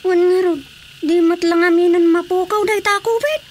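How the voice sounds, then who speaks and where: A high pitched sassy young woman who scolds, ilocano filipino accent, radio comedy, slight background noise. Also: normal speed. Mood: chatty.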